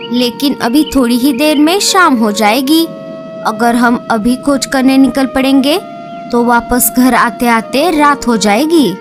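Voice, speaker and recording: A woman with a high, light voice voices the birds in a children's cartoon. Her delivery is slow and sing-song, like a storybook. Soft music plays faintly under her. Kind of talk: cartoon dialogue acting.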